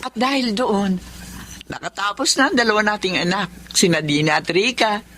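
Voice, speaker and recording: A woman's voice: medium pitch, normal speed, bright, forceful tone, slight background noise, natural voice. An actress playing a humble, grateful wife and mother in a Filipino radio drama, speaking earnestly and respectfully. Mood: hopeful, thankful.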